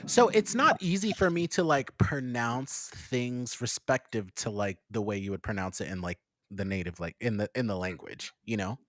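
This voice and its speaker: A man chatting casually, expressive and laughing often, with many filler words. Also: fairly low pitch, moderate pace, hardly any pauses, no room sound. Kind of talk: casual chat.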